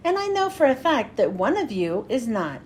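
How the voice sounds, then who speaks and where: Woman voicing a high, severe female monster dean. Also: very animated, moderate pace, slight background noise. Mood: stern. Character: stern, haughty female monster university dean.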